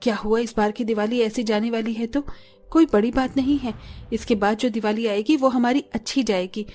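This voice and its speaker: A woman's voice: a little high, steady tone, moderate pace, dark tone, faint music behind, close to an everyday voice. A woman playing a poor, patient mother and wife in a cartoon story, soothing and resigned yet encouraging. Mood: reassuring, hopeful.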